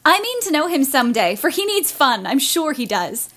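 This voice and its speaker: A woman as Jo, a high-voiced boisterous teenage tomboy. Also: very animated, moderate pace, a bit bright. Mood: eager. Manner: exuberant, blunt, theatrical. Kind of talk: audio drama acting. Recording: noticeable background noise.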